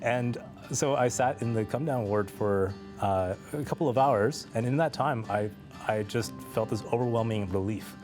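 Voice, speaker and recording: A man telling a personal story as an interview guest, speaking in a reflective, measured way with pauses. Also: mid-range voice, a little mellow, soft background music. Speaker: interview guest, transplant patient.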